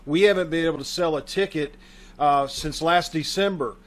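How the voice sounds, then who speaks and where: A man with a voice a little higher than most hosts for a community theater troupe. He speaks informally and addresses the audience directly, in a casual welcome over a video call. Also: normal speed.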